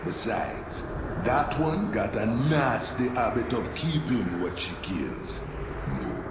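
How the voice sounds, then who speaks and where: A man's voice: lowish voice, sing-song, slow pace, heavily acted. A menacing, sly spirit of death for a fantasy game. His theatrical drawl swoops up and down and lingers on every phrase, in dialect grammar. The sound is echoey. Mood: sly, scheming. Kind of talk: theatrical video game cutscene acting. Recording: echoey game audio under loud noise.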